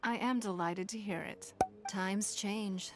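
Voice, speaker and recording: A woman voicing a poised, elegant lady of high standing in a video game, with a refined, composed and formal manner. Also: fairly even intonation, slow pace, music in the background, close to an everyday voice. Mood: gracious, composed.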